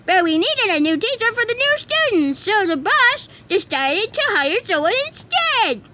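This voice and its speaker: A woman voicing a chatty, excitable cartoon object who works as a teacher and rambles eagerly. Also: very high-pitched, normal speed, voice pushed up, full character voice.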